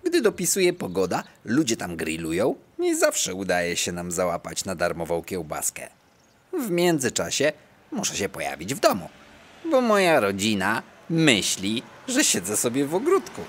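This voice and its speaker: A woman's voice: very deep voice, huge pitch swings, moderate pace, full character voice. A woman voicing a small, breezy, self-assured male terrier with slangy patter in a children's audio play. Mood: brisk, insistent.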